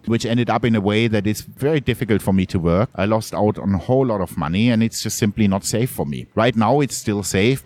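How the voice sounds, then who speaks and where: A middle-aged man from Germany, a journalist being interviewed, talking in a halting way with many restarts and repeated words. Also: fairly low pitch, darkish tone, no echo. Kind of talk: candid conversational interview.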